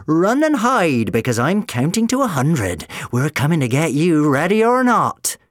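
A man voicing a mocking woman villain who taunts in a slow sing-song. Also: fairly high pitch, big pitch swings, dry recording, voice pushed up. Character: mocking woman villain playing hide and seek.

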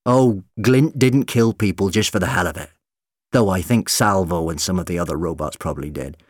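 A man voicing a serious, scholarly uncle with a measured, moralising way of talking, in an audiobook story. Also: sits low, dry recording, studio quality. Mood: grave. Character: sober, principled uncle with a scholarly interest.

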